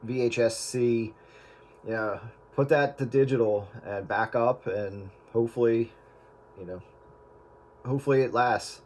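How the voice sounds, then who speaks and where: A man's voice: medium pitch, a little slow, darkish tone. A man hosting a casual chat, explaining technical points as an audio engineer would.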